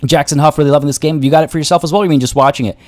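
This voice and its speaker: A man's voice: a little high, steady tone, rapid-fire, a little mellow, faint music behind. A young man streaming a game from his home setup, his voice getting worn, rambling through casual commentary with lots of fillers and restarts.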